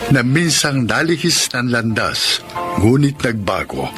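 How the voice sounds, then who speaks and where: A man's voice: mid-range voice, sing-song, unhurried, over music. A man hosting, reading a scripted introduction to a radio drama. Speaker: host.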